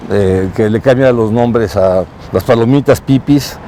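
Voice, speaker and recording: A man being interviewed on a city street as a consul, talking slowly with many pauses and fillers. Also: mid-range voice, mellow, a little hiss or hum. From Mexico. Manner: hesitant, many fillers and restarts.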